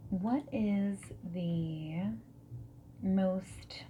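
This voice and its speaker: A woman playing a friendly, non-judgmental dating service matchmaker in a gentle, soft-spoken and whispered ASMR roleplay. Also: medium pitch, slight background noise. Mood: gentle, businesslike. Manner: gentle, reassuring, asks questions and pauses for answers. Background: mechanical keyboard typing and clicks.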